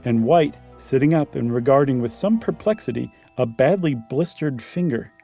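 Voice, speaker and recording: A man's voice: mid-range voice, expressive, moderate pace, creaky, dark tone, faint music behind. A man co-hosting a podcast, reading a horror story aloud and chatting casually. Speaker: podcast co-host.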